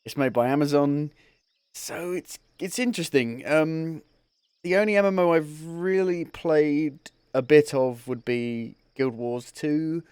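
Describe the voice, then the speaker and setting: A man's voice: on the high side, measured pace, darkish tone, clean tone, dry recording. A man casually commenting as he starts playing a game on stream, rambling and chuckling at himself. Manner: rambling, chuckling, self-deprecating. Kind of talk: casual let's-play commentary.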